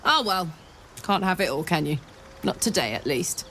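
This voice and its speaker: A fiery warrior woman, speaks on the lower side with an earthy, wry candor. There is a wistful streak under the spirit. Clear noise sits behind the voice. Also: animated, normal speed, fairly bright tone. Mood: yearning. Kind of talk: video game character dialogue.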